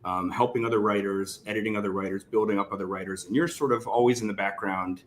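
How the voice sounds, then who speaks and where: A low-voiced man hosts an interview in a casual, conversational style. He wanders through his questions, leaning on "like" as he goes. Clear background noise runs behind his voice. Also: steady tone, fast. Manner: conversational, rambling, frequent "like". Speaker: interviewer, host.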